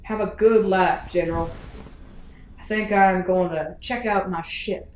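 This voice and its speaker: A woman playing a sharp-tongued, sardonic gunslinger in a science fiction audio drama, needling and wry. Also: mid-range voice, fairly even intonation, unhurried, echoey room, faint music behind, lightly acted, low-quality recording. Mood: wry resignation. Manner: needling, wry, rhetorical questioning.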